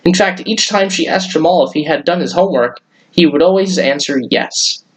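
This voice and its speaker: Man with a higher-pitched voice reading to kids. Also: moderate pace, rough recording. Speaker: children's story-time host reading aloud. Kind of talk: read-aloud storytelling for children.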